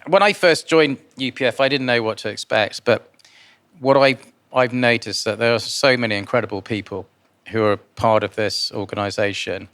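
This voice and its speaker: A man born in Guyana answers interview questions informally, in a halting way with repeated words. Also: medium pitch, a bit bright. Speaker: interviewee, philanthropist and investor.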